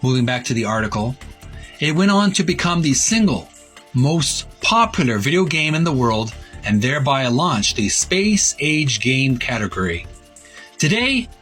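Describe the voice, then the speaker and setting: A man hosting a retro gaming video, talking casually in a halting, wordy way, rephrasing himself as he shows and reads printed material. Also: medium pitch, fairly bright tone. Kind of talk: casual narrated show-and-tell, reading printed ad copy aloud.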